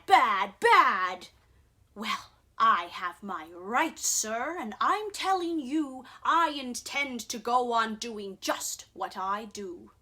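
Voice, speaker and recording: A man's voice: very high voice, bright, forceful tone, full character voice. A woman voicing a greedy, brooding old industrialist from a storybook, with an emphatic, dramatic delivery. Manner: emphatic, dramatic, repeating words for force. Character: regretful old Once-ler, greedy industrialist, brooding and defiant. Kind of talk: storybook character reading.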